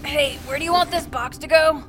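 A woman's voice: high-pitched, brisk, faint music behind, close to an everyday voice. A woman playing a wry, practical, supportive best friend in her thirties, in naturalistic audio drama dialogue. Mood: casual. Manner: casual, wry, encouraging.

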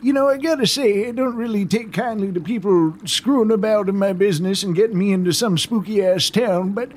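A woman's voice: mid-range voice, fairly quick, a little mellow. A woman improvising in character as a tabletop game player, blunt, folksy and slangy. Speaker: tabletop role-play player.

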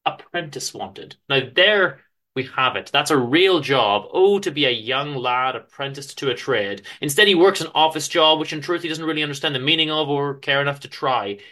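A man giving a dramatic literary reading of a story, with theatrical, deliberate emphasis and pauses. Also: on the high side, animated, bright, forceful tone. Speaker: author reading own story aloud.

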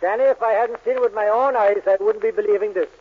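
A kindly Irish policeman from a 1940s radio play, acted by a man. His voice sits high, with folksy, lilting phrasing and a gently teasing manner. The recording is muffled, with faint noise. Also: fast, lightly acted. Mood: friendly teasing. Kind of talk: 1940s radio commercial sketch acting.

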